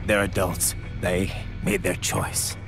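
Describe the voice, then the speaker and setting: A grim, righteous cyborg warrior from a video game, with a lowish voice over very loud music. He speaks in terse, stern and stubborn phrases. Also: little pitch movement, slow pace. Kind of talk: scripted game acting.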